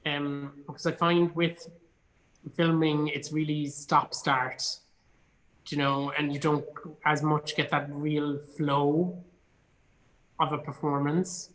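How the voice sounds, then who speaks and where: A man's voice: fairly high pitch, flat delivery, takes it slowly, pauses often, a bit bright, rough recording. Irish actor chatting, a man telling anecdotes. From Cork, Ireland. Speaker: actor, interview guest. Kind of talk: casual chat, anecdotes.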